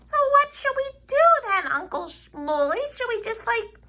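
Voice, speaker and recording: Little girl puppet for kids' show: extremely high, very bright, childlike, earnest. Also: big pitch swings, measured pace, exaggerated voice. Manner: childlike, gushing, hesitant.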